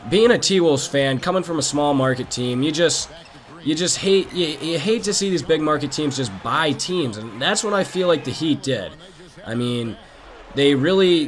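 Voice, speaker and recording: Hoarse young-sounding man talking sports. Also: medium pitch. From Minnesota, USA. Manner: chatty and opinionated, addresses viewers directly. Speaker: gaming channel host. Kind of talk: casual sports talk over gameplay.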